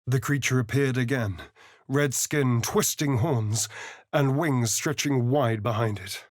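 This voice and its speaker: A man's voice: mid-range voice, slow, no room sound, clean studio sound, close to an everyday voice. An actor playing a sly, haughty male vampire rogue in a video game, with an arch, drawling, sardonic delivery. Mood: hushed, dramatic. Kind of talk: video game character voice acting.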